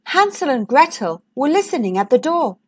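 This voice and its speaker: A woman reading a storybook aloud for young children in an expressive, deliberate way, as host and narrator. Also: sits high.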